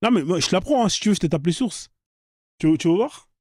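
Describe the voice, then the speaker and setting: A man's voice: sits high, animated, quick pace, husky, no echo, studio quality. A man hosting a live online debate, pressing his guest with repeated, insistent questions.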